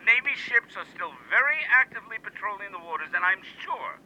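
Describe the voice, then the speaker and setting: A high, very sharp-edged admiral speaks with measured, official caution in a vintage radio drama. His delivery swings widely in pitch, through a narrow radio-phone filter. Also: animated, a little hiss or hum, heavily acted. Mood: formal, guarded. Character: formal, guarded navy rear admiral, older man. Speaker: interviewed naval commander. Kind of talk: 1930s-style radio news drama acting. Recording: dull, band-limited radio phone line.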